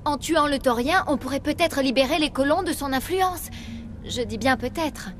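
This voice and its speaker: An actress playing an earnest, guilt-ridden young researcher in a dramatic game dub, speaking anxiously. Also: female voice, high voice, moderate pace, lightly acted. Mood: pleading, earnest.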